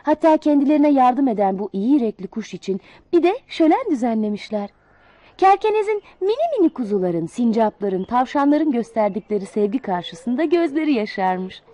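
Brief: female storyteller, high and very lively, sing-song fable reading. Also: moderate pace, dry recording, dull audio. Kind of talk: reading a children's fable aloud.

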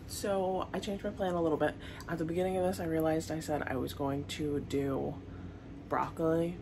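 A woman's voice: rumbling low, background noise. A woman chatting casually to viewers as she cooks in her home kitchen, talking through each step as she works. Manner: chatty, talks while cooking, addresses viewers. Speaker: home cooking vlogger. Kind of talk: casual cooking vlog chat.

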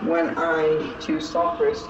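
A woman voicing the solemn, aggrieved ghost of a murdered king, speaking in slow, archaic, portentous phrases in a tabletop game. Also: deep voice, completely flat delivery, muffled recording, noisy background. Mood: solemn, grave. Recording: echoey, distant, music underneath. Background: music.

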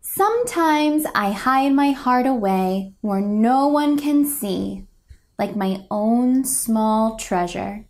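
A young woman reads a children's storybook aloud at a very slow, deliberate pace. Her voice sits a little high, and she delivers the lines gently and expressively, as for small listeners. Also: animated. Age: young adult. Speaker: picture book reader. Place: indoors, a home room.

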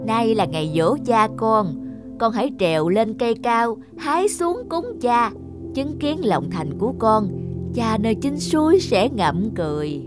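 A woman's voice: fairly high pitch, very animated, a little slow, music in the background. A woman voicing a spiteful middle-aged stepmother, bossy and sly with false sweetness. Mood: falsely sweet.

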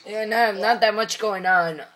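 A teenage boy with a hoarse voice, chatting casually and jokingly into a webcam from his room, wearing a gaming headset. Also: high voice, normal speed, a bit bright, poor audio. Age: teens. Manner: rambling, joking, tired. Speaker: vlogger. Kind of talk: casual chat. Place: small room at home, indoors.

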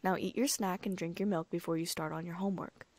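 A woman's voice: on the low side, steady tone, fast, a little mellow, no echo, lightly acted. A woman reading as a caring, firm aunt. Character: caring female spy, motherly aunt.

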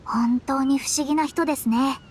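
A woman's voice: high-pitched, steady tone, moderate pace, slight background noise, natural voice. A woman voicing a proud young astrologer. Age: young adult. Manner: polite formal speech, self-assured, slightly lecturing. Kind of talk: game voice acting.

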